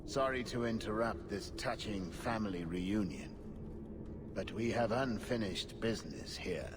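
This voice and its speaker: A man's voice: on the low side, animated, a little slow. An actor playing a vengeful, menacing male thug in a fantasy video game, bitter and threatening. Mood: menacing, mocking. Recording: noisy, long hall reverb.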